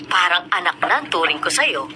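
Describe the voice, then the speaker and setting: An actress playing a warm, encouraging high school teacher and class advisor in a radio drama. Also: female voice, very animated, normal speed. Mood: proud. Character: warm proud female teacher and class advisor, middle-aged. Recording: noisy radio broadcast recording.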